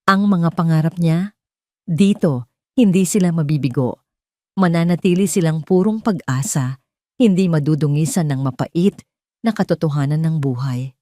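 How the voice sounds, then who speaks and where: A woman voicing a calm, deranged male kidnapper in a storybook, sweetly menacing and deliberate, speaking with obsessive conviction. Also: low voice, no room sound, studio quality, lightly acted. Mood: grandiose conviction. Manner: slow, deliberate, full of conviction.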